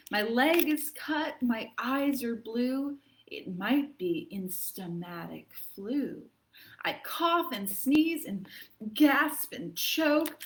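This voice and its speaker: A woman voicing a whiny little girl who theatrically fakes sickness, reading a storytime poem aloud. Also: a little high. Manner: theatrical, plaintive complaining.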